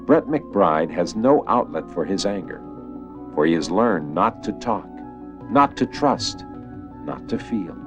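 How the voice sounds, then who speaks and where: A low-pitched man narrates an old educational film with grave, measured phrasing. His intonation moves expressively over a musical backing, in a scripted documentary style. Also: sing-song, over music.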